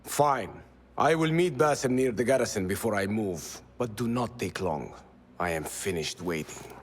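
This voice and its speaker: Man playing a lively, determined rebel leader. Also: lowish voice, moderate pace, slight background noise, lightly acted. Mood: resolute, impatient. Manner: resolute, expressive.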